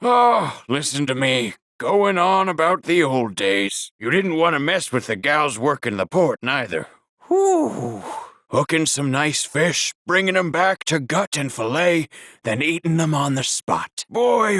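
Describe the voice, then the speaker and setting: A wistful old fisherman rambles folksily in game dialogue. He talks slowly, with animated swoops in a slightly high voice. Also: no echo, studio quality. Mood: wistful, savoring. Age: older.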